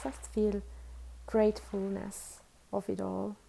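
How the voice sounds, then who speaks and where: A woman leading a guided meditation as a teacher, with soothing, unhurried instructions and long pauses. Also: medium pitch, little pitch movement, vocal fry, warm tone.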